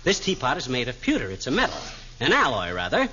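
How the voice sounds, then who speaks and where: Blustery husband in an old radio comedy, lively up and down voice, muffled noisy recording. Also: male voice, mid-range voice, fast talker. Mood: mock-learned. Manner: blustery, folksy, mock-learned. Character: bumbling, boastful, chatty middle-aged husband. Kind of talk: 1940s radio comedy acting.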